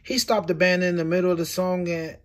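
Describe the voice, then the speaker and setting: A man reading a picture book aloud with expressive, swinging delivery. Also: brisk. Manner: expressive storytelling with big swings in pitch and loudness.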